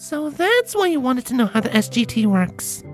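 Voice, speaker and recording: A performer voicing excitable female cartoon ponies in an animated, girlish manner for a fan fiction reading. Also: sits high, moderate pace, faint music behind, pitched above the performer's own voice. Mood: encouraging. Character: excitable young cartoon pony fillies and mares.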